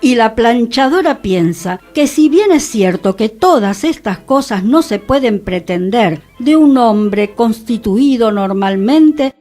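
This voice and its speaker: A woman reading a literary story in a measured, deliberate way. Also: a little high, darkish tone.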